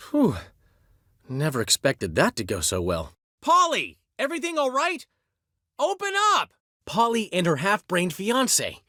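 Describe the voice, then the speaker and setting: A man's voice: on the high side, a bit bright, no room sound, studio quality, exaggerated voice. An actor voicing a vain, witty male bard in a video game, speaking in flamboyant, florid phrases. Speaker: game character. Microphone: dry studio recording.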